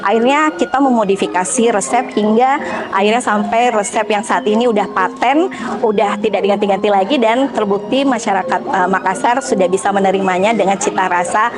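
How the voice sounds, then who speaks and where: A young woman who owns a restaurant talks in an interview about running her business, speaking in a measured way with frequent pauses and hesitation fillers. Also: mid-range voice. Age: young adult. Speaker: restaurant owner. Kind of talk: interview testimonial. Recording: music bed behind voice.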